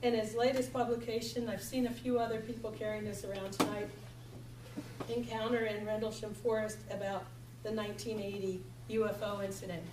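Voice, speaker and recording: Tired, gravelly-voiced woman introducing a speaker. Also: mid-range voice, level tone, a little fast. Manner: reading from notes, lightly joking. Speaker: event host introducing speaker. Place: lecture hall with audience. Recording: echoey hall from far off with noise.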